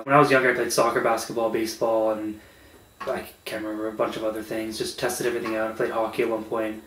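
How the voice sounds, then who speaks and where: A man's voice: fairly low pitch, fairly bright tone, echoey room, distant mic, slight background noise, poor audio. A man talking casually and plainly about school and sports. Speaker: interviewee. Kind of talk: casual chat.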